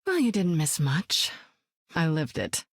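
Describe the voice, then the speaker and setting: A seasoned woman superspy with a cool, wry, self-assured delivery. Her voice sits a little low and is recorded close and dry, with no room around it. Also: huge pitch swings, unhurried, dry recording, full character voice. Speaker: game hero. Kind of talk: video game voice lines.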